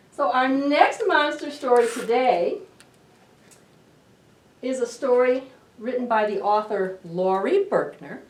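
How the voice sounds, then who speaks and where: A middle-aged woman doing a dramatic picture-book read-aloud for young children, with questioning asides to her listeners. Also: a little high. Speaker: library storytime host. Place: indoor library storytime room. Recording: roomy, distant camera microphone.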